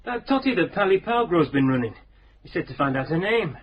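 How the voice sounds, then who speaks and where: Gossipy police sergeant, blunt and colloquial, slightly higher voice, faint hiss. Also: male voice, big pitch swings, a little fast, muffled recording. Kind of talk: 1970s radio drama acting.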